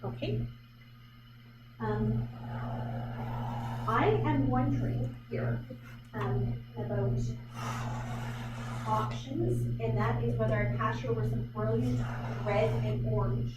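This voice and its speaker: A woman talks through a painting demo in a chatty, self-questioning way, often stopping on fillers. Her voice is fairly high. She sounds far from the mic in an echoey gallery with loud noise behind her. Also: takes it slowly, pauses often. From Vancouver Island, Canada. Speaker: artist leading a painting demonstration. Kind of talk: live painting demonstration, thinking aloud. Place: art gallery.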